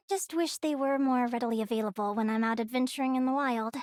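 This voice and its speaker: A woman voicing a curious young female explorer in a video game, speaking in an earnest, expressive, youthful way. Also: high-pitched, normal speed, no room sound, studio quality, close to an everyday voice. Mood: cheerful. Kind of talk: English video game character voicelines.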